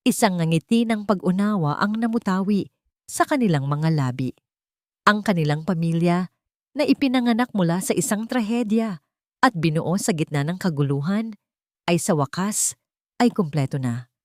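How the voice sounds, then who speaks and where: A woman narrating a scripted story in an earnest, sentimental, measured way. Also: mid-range voice, darkish tone, no room sound, clean studio sound.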